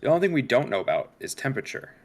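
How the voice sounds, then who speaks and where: A man's voice: mid-range voice, sing-song, racing. A man playing a cool, pedantic science officer on a spaceship, precise and clinical in a scripted read. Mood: dismissive.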